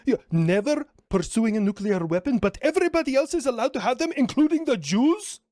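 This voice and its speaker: A man's voice: very high voice, big pitch swings, brisk, darkish tone, no echo, studio quality, voice pushed up. A man voicing a defiant, indignant country character who argues and accuses in a comedy skit. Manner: argumentative, indignant.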